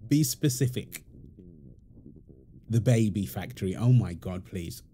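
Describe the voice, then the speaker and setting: Man as young husband and dad for intimate roleplay audio: very soft dark tone, teasing and affectionate, animated pitch. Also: a little slow, slight background noise. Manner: teasing, affectionate, chuckling banter. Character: playful teasing young husband and doting father. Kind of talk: intimate domestic ASMR roleplay.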